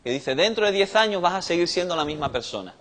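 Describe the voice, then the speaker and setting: A man's voice: sits high, animated, quick pace, a little hiss or hum. A man with a Cuban accent preaching a sermon in a conversational way that rises in force on key points. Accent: Cuban Spanish. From Cuba. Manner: conversational preaching, swelling louder on big points.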